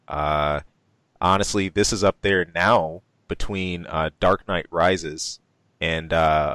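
A man's voice: deep voice, fairly bright tone, dry recording. A man co-hosting a podcast, chatting casually about movie news with lots of ums, restarts and laughs. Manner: rambling, many ums and restarts, laughs often. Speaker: podcast co-host.

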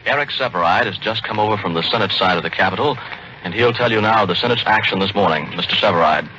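An American male announcer speaks rapidly in a lowish voice during a live broadcast. The 1940s radio recording is clearly noisy. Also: highs cut off.

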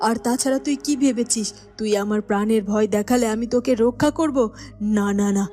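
Lady doing proud queen mom in a cartoon, firm, no mercy, highish voice, bit of music. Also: brisk, warm tone, lightly acted. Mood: defiant, stern. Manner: firm, stern, emphatic. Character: defiant, righteous queen mother refusing a villain. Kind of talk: melodramatic cartoon voice acting.

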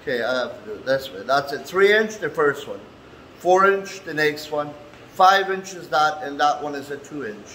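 A man from Canada chatting casually to camera as he shows his workspace, in a halting, folksy way with non-standard phrasing. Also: a little high, lively intonation, bright, forceful tone. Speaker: vlogger and handyman host. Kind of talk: casual walk-through chat to camera. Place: indoors in a man cave workshop. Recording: echoey room with light background noise.

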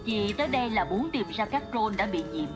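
A woman's voice: mid-range voice, expressive, brisk, lightly acted. A woman voicing a confident, breezy big-sister leader in a dubbed cartoon. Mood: serious, explaining. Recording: music under cartoon dialogue.

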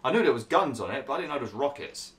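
A man's voice: mid-range voice, very animated, very fast, fairly bright tone. A young man commentating over gameplay, chatty and grumbling, saying he is losing his voice. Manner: chatty, grumbling, laughs at himself. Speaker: gaming YouTuber.

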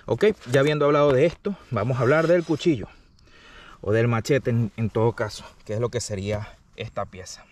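A man's voice: medium pitch, brisk. Man reviewing gear, a bit distant. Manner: explanatory, often checks in with "ok?". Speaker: product reviewer, channel host. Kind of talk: casual hands-on gear review. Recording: distant mic, faint background noise.

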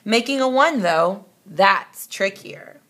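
A woman voicing an excitable male count from a storybook, drawing out his exclamations. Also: medium pitch, slow pace. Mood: boastful. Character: excitable old male count of numbers, inventor.

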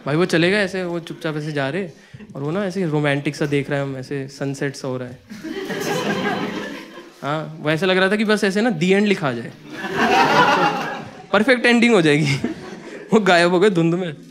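A young man telling a comic story on stage, acting out dialogue in an animated, slangy way. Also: a little high, moderate pace, long pauses, background noise. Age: young adult. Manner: animated, acts out dialogue in conversational Hindi slang. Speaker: stand-up comedian. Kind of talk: stand-up comedy storytelling. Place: small comedy club stage with audience. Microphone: handheld microphone.

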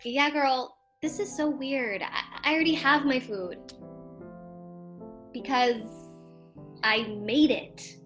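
A woman's voice: high voice, slow pace, long pauses, a bit bright, faint music behind. A woman playing an incredulous, suspicious young woman on an awkward video date in a conversational comedy sketch, sometimes hesitant. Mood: uneasy.

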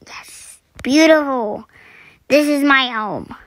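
A young woman chatting through a survival game, her voice scratchy this morning, clearing her throat and often dropping to a whisper. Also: high voice, slow pace, dry recording. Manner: chatty, self-deprecating, often drops to a whisper. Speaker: gaming channel host. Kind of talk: casual gameplay commentary.

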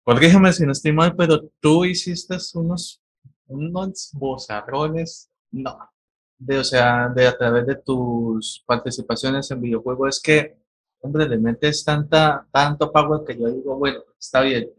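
A man hosts an interview over a video call. His questions wander and restart. His voice is a little husky. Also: mid-range voice, steady tone. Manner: rambling, halting questions. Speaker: interview host. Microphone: video call webcam.

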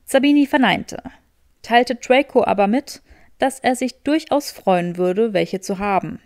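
A woman's voice: on the high side, unhurried, dry recording, studio quality. A woman reading scripted fiction as an audiobook narrator.

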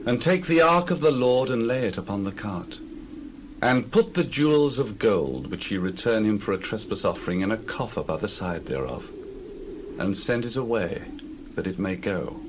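An actor playing a solemn male priest who gives grave, measured counsel in archaic speech. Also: lowish voice, lively intonation, a little hiss or hum, lightly acted.

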